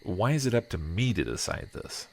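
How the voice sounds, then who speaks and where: An earnest, self-doubting husband played by a male actor in an audio drama. His voice is very low, weary and hesitant, with some noise behind it. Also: big pitch swings, moderate pace. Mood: troubled. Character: earnest, self-doubting husband burdened with a world-changing decision. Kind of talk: audio drama acting with first-person narration. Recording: noticeable background noise.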